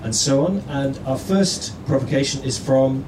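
A man introduces speakers at a live event in a loose, halting way. His voice sits in a middle register. It carries plenty of room echo, with clear noise in the background. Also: mid-range voice. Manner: halting, hesitant delivery. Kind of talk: informal spoken introduction. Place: live stage event indoors. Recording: distant, echoey, noisy room with audience.

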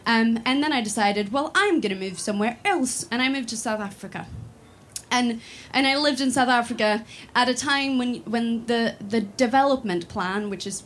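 A woman's voice: mid-range voice, slight background noise. A woman in her twenties from Sweden, talking with many fillers and restarts, then reciting a spoken word poem built on repeated refrains. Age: 20s.

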